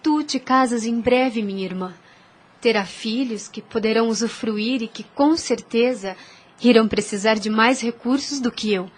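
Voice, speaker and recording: Actress as a high-voiced, gentle, devout young woman. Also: normal speed, lightly acted. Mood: calm, resolute. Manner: earnest, formal, pleading. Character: devout, gentle, self-sacrificing young woman, quietly firm. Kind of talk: audio drama acting.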